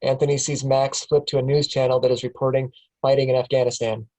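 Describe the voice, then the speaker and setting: Table-read narrator, male, mellow and plain. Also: mid-range voice, flat delivery, fast talker, low-quality recording. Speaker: narrator reading stage directions.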